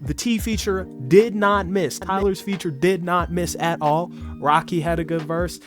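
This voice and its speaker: A man's voice: high voice, moderate pace. Sick-sounding man rambling over music. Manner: rambling with many fillers and restarts. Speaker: music reviewer. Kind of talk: casual album review. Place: bedroom. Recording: music playing behind.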